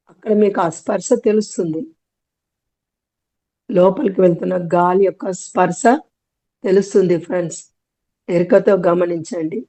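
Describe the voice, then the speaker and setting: Mellow-voiced woman guiding meditation. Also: lowish voice, rough recording. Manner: gentle instructions in short phrases, often repeated. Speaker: guided meditation teacher. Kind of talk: guided meditation instruction.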